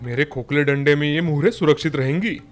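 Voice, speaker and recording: A woman's voice: low voice, a little fast, voice pushed down, close to an everyday voice. A woman puts on a smug storybook shepherd man. The voice drops well below a narrator's, muttering to himself over plucked music. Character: simple, self-satisfied male shepherd.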